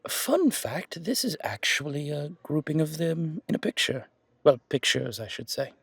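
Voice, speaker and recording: A man's voice: on the high side, sing-song, normal speed, gravelly, fairly bright tone, husky, no echo, exaggerated voice. A man playing a charming, flirtatious male museum guide in an audio roleplay, chatting intimately with genteel, hesitant asides. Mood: chatty, informative. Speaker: character performer in an audio roleplay. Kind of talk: intimate ASMR roleplay monologue, conversational.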